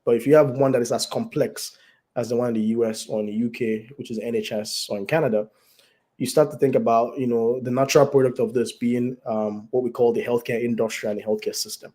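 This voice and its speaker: A man with a mid-range voice gone raspy and hoarse from the flu answers as a guest doctor over a video call. His explanations run long and wind along, with frequent self-corrections and ums. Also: medium pitch, fairly even intonation, a little fast, mellow.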